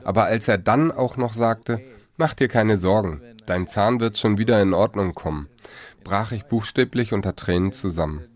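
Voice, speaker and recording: Measured man's voice-over, slightly low. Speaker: voice-over interpreter. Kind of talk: steady translated narration.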